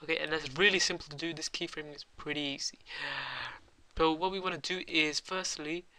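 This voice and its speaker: Young guy with a cold hosting a tutorial, rambling and casual; fairly high, crisp and clear voice. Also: steady tone, a little fast, pushed and bright, no room sound. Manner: rambling, lots of ums and uhs. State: has a cold. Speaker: tutorial host. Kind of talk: casual screen-recorded software tutorial.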